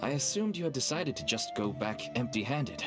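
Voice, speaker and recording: A man's voice: mid-range voice, very animated, fairly quick, lightly acted. A man voicing a nervous, wheedling middle-aged father and dealer in a game, with a persuasive manner. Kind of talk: game voice acting. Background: game music.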